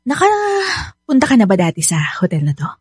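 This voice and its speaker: A woman's voice: a little high, wildly swooping pitch, normal speed, husky, no echo, clean studio sound, heavily acted. An actress playing a young, inquisitive, sulky girlfriend in a Filipino radio drama. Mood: probing. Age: young adult.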